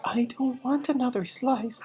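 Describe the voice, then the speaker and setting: A reader voicing a timid, reluctant young schoolboy in a storybook, murmuring politely in a hushed, pleading way. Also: medium pitch, a little slow, crowd noise, pitched above the performer's own voice, lightly acted. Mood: reluctant, meek.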